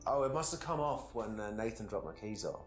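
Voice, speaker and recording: A hesitant male suspect in a mystery game, played by an actor. He answers haltingly with varied pitch. The room echoes and faint music plays behind. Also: animated, close to an everyday voice. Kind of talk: acted dialogue in a mystery video game.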